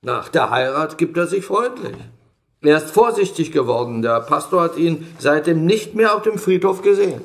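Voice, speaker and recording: Creaky-voiced, knowing townsman. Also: a little high, very animated, moderate pace, fairly bright tone. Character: measured, well-informed gossiping townsman in a colonial port. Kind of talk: German audio drama acting.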